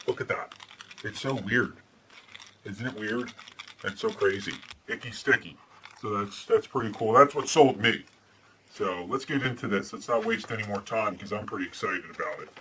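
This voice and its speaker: A man playing Batman as an earnest, deadpan superhero host who chats casually and reads storybooks aloud, with some hesitation. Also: lowish voice, noisy background, voice pushed down. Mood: chatty, curious.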